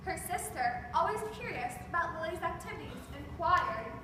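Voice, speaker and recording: A woman's voice: very high voice, lively intonation, moderate pace. A woman narrating a scripted story in a readers theater performance. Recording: echoey hall from far off, noisy.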